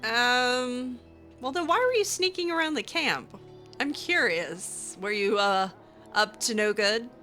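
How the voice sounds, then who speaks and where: A woman gamer improvising in character in a casual, hesitant, halting way as she plays. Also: a little high, lively intonation, pushed and bright, soft background music. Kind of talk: improvised casual roleplay commentary over a game.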